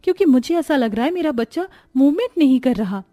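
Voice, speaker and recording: A woman's voice: sits high, expressive, brisk, mellow, no echo, studio quality, faint music behind. A woman playing a meek, suffering young pregnant daughter-in-law in a cartoon story, speaking timidly and plaintively. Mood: frightened. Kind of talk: Hindi cartoon story voice acting.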